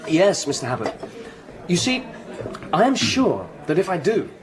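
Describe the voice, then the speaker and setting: A man playing an earnest, ambitious young gentleman student in a radio drama. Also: a little high, huge pitch swings, measured pace, poor audio. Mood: keen, earnest.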